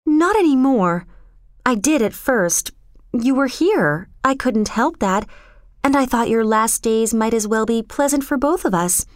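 A woman's voice: high-pitched, expressive, normal speed, dry recording, studio quality, pitched above the performer's own voice. A woman storyteller voicing a spoiled rich young girl, emotional and blithely callous, in a dramatic, pleading manner. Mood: earnest, wistful.

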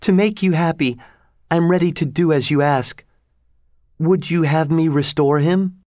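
A man's voice: a little high, a little slow, darkish tone, no echo, clean studio sound, pitched above the performer's own voice, close to an everyday voice. A man voicing a cruel, lovesick sorceress queen in a melodramatic, imploring manner for a storybook. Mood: eager.

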